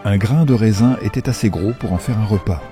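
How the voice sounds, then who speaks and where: A man narrating a children's fairy tale from a script in an expressive, playful storytelling manner. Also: on the low side, fairly quick, music in the background.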